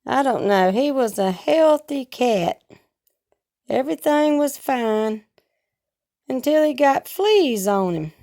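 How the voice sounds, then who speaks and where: Brief: woman vlogger, hoarse from a cold, high voice, unhurried homespun rambling. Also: expressive, warm tone. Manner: slow, homespun, rambling talk with regional grammar. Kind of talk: casual home vlog chat. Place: at home.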